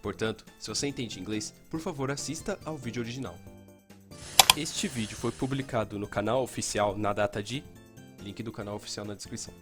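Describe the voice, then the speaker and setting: A man's voice: lowish voice, fairly quick. A Brazilian man reading a scripted introduction and disclaimer as the presenter of a channel. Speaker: fan-dub channel presenter. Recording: voice over clear background music. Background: music.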